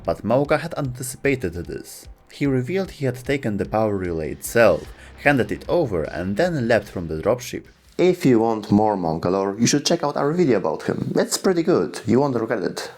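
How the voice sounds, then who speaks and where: A man's voice: mid-range voice, sing-song, normal speed, a little mellow. A man hosting a video, reading scripted narration about game lore, with some wry delivery.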